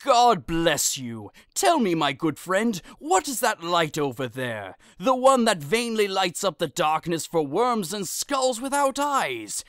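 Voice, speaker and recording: A woman as fretful old friar, wildly expressive. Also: on the low side, slow pace, hardly any pauses, no echo, studio quality. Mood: anxious. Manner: fretful, exclamatory. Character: anxious old friar, kindly, fearful, male. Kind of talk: audio drama acting of modernized Shakespeare.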